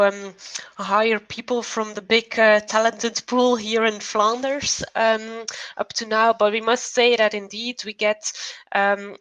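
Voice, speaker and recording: Slow, hesitant woman panelist. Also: mid-range voice, a bit bright, poor audio. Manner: slow and hesitant, many ums. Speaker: panel guest, company representative. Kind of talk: panel discussion answer.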